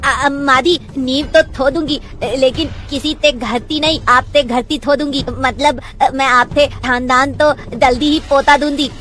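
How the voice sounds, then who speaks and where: A woman's voice: high-pitched, steady tone, a little slow, hardly any pauses, fairly bright tone. A woman voicing a naive young bride who lisps and hesitates, in a cartoon story. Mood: innocent. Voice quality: lisping, childlike speech. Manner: hesitant, with fillers. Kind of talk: cartoon voice acting.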